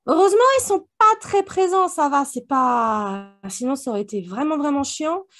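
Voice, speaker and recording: A woman's voice: high-pitched, lively intonation, moderate pace, fairly bright tone. A woman chatting casually in colloquial, slangy language, like a guest on a review podcast. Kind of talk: casual chat.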